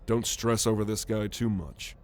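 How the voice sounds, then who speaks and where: A man's voice: on the low side, fairly even intonation, normal speed, a little mellow, slight background noise, natural voice. A man playing a grumpy, cynical, world-weary alien spaceship crewman who grumbles sardonically in an ASMR roleplay audio drama. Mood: irritated, sardonic.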